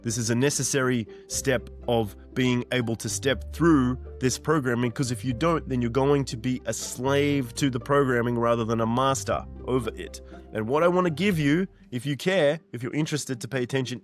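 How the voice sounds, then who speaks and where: Man, 40s, earnest motivational coach voice, mid pitch, light music bed. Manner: earnest, direct, repetitive emphasis. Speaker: mindset coach. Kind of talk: motivational monologue.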